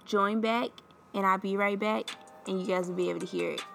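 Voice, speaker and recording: Stuffy-nosed podcast host girl, 20s, chatty with lots of ums, gravelly fry, faint hiss behind. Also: mid-range voice, steady tone, brisk, a bit bright. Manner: candid and chatty, frequent ums. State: stuffed up from a cold. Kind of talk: casual solo podcast chat.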